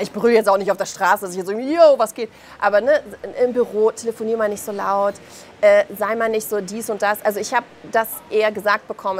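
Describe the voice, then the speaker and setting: Emphatic, colloquial woman in interview. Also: mid-range voice. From Belarus. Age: 30s. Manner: emphatic, colloquial, acts out quoted remarks. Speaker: interview guest, author and journalist. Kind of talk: impassioned spoken interview answers.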